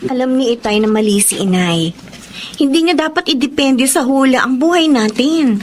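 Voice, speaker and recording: A woman's voice: high voice, animated, normal speed. An actress playing a devoted, dutiful but determined young woman in a Filipino radio drama. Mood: worried, pleading. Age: young adult. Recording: faint background noise.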